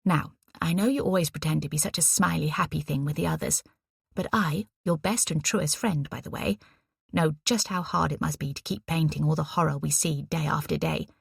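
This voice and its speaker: A woman voicing a bubbly, cheeky young wartime nurse who talks briskly and teases her friend. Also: low voice, vocal fry, slightly rough, dry recording, clean studio sound, full character voice. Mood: teasing. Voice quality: brisk, teasing, exuberant.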